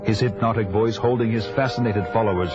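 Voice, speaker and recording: A grave barbarian warrior played by a man tells of his quest for vengeance in an audio drama. His voice is low and solemn, with music clearly behind it. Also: steady tone, brisk, close to an everyday voice. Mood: grave, narrating. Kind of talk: scripted first-person audio drama narration.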